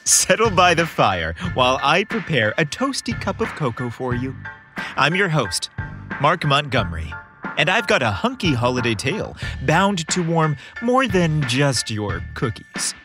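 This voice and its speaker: A man's voice: a little high, big pitch swings, a little slow, over music. A man hosting a story podcast, reading scripted narration with a playful, theatrical delivery, close on the microphone.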